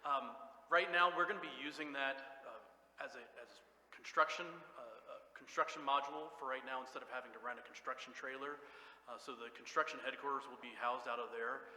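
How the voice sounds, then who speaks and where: A man's voice: medium pitch, steady tone, fairly quick. A man with a big, carrying voice, presenting as a school superintendent at a public hearing. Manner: loud, carrying voice. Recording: echoey hall with long reverb.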